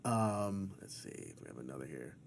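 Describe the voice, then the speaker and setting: A man's voice: a bass voice, wildly swooping pitch, moderate pace, creaky, slight background noise. A man hosting a casual live podcast, chatty and jokey, often repeating his phrases. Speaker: podcast host.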